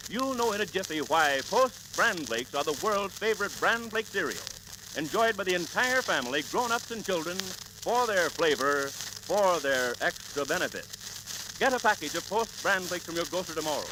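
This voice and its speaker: A man delivering a hearty, emphatic sponsor pitch as a 1930s radio announcer, in a folksy style. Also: very animated, moderate pace. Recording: crackly, noisy 1938 radio transcription disc.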